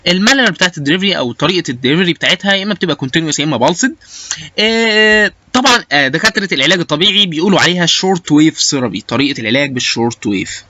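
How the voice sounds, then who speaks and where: Egyptian guy lecturing real fast, bright voice, lots of uhs and restarts, slightly muffled dry recording. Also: a little high, expressive, dry recording. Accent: Egyptian Arabic. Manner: halting, with frequent hesitation sounds and repeated starts. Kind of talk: informal educational lecture with English technical terms.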